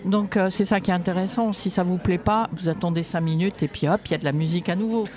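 A woman's voice: fairly low pitch, steady tone, fast, warm tone. A woman poet and workshop leader answering interview questions in a reflective, light-hearted way.

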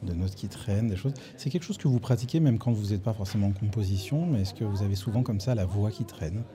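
A man's voice: lowish voice, quick pace, a crowd in the background. A man interviewing a singer, asking long, reflective and detailed questions about her voice. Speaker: radio interviewer.

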